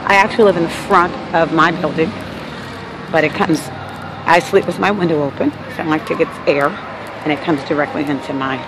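A woman's voice: deep voice, steady tone, brisk. A woman from Los Angeles with a Californian accent, narrating a casual handheld video as an aggrieved local resident in a matter-of-fact way. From Los Angeles, United States. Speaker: local resident documenting a noise problem. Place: mostly outdoors walking along apartment alley. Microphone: handheld phone. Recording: noisy outdoor phone recording, street noise.

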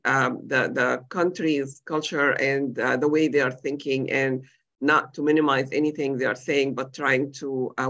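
A woman's voice: a bass voice, fairly bright tone. Woman, middle-aged, from Egypt. Conversational medical panelist, slightly muffled lo-fi call audio. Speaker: physician panelist. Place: indoors on a video call. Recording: dull lo-fi video-call audio.

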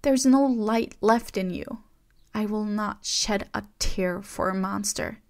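A woman voicing a betrayed young heroine who shouts accusations in a trembling voice. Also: mid-range voice, slow pace. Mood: furious, betrayed.

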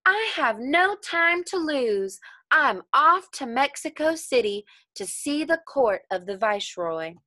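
A woman's voice: sits high, sing-song, takes it slowly, sharp tone, pitched above the performer's own voice, full character voice. A woman voicing an eager, pleading little half-chicken in a children's storybook, with emphatic exclamations. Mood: brisk, determined.

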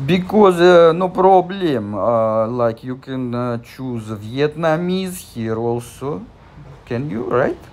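Slow, hesitant man with a Russian accent. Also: mid-range voice, lively intonation, a little mellow. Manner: hesitant, many fillers and restarts. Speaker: channel host. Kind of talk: screen-recorded app tutorial.

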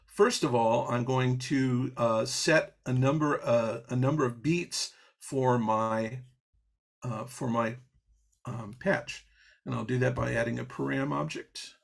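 A man's voice: medium pitch, steady tone, takes it slowly, gravelly. A man teaching an audio programming patch step by step on a video call, explaining as he goes with fillers and restarts. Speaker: software instructor. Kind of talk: live online coding demo.

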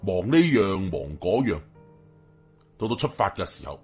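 A man's voice: low voice, big pitch swings, measured pace, soft background music. A man with a Hong Kong Cantonese accent telling a story in a colloquial, suspenseful, dramatic narration.

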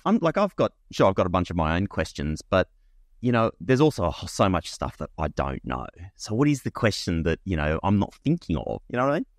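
A man in his forties hosting a podcast, asking rambling questions into a studio mic on a boom arm in a home studio. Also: dry recording. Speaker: podcast host. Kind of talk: interview questions.

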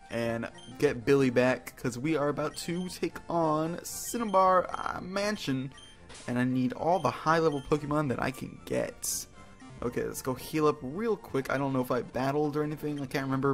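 A man hosting a video game playthrough with casual, rambling, playful commentary, slangy and often repeating his phrases. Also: a little high.

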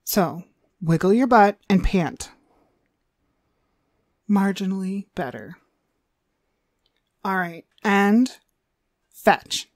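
A woman playing a cruel, domineering demonic dog trainer in an ASMR roleplay, haughty and scolding with drawn-out emphasis. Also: medium pitch, expressive, no room sound, clean studio sound, exaggerated voice. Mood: disgusted, scornful, then impatient, commanding.

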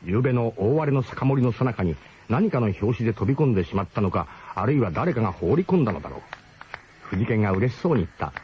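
A man's voice: on the low side, big pitch swings, fast talker, darkish tone. A man narrating a comic radio drama as scripted storytelling, with a mock-solemn, literary delivery. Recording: muffled 1980s radio broadcast, faint noise.

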